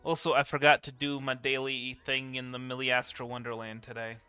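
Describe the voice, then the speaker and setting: A man's voice: medium pitch, flat delivery, brisk, bright, forceful tone, smooth, faint music behind. A man giving casual, rambling live commentary as a game streamer, with wry asides.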